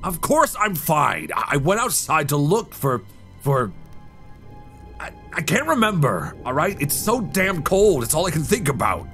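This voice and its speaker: A man's voice: on the high side, huge pitch swings, a bit bright, exaggerated voice. A man playing a shivering, defensive stranger in a horror audio roleplay, stammering and sighing as he talks. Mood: defensive, agitated. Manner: halting, stammering, breathy sighs. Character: shivering, defensive man, secretly an impostor creature. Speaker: voice actor. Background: music.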